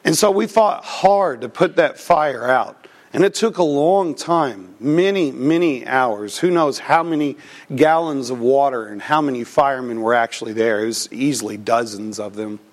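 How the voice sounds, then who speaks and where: A man preaching a sermon, reading scripture, praying and telling a personal story, his voice a little froggy from a cold. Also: medium pitch. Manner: slow, deliberate preaching. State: recovering from a cold, slightly froggy. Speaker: pastor.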